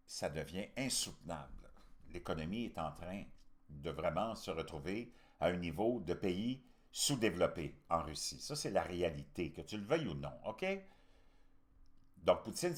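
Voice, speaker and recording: A low-voiced Québécois man hosts a casual political commentary. His pitch moves around a great deal as he makes his points. He is colloquial, opinionated and emphatic. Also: animated, a little slow. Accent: Québécois French. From Canada. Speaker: host commentator.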